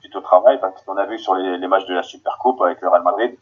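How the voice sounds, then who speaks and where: A man from Paris, a guest on a football podcast, answering in a halting way with many restarts, heard over a remote call. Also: sits low, slightly rough, narrow, tinny audio. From Paris, France.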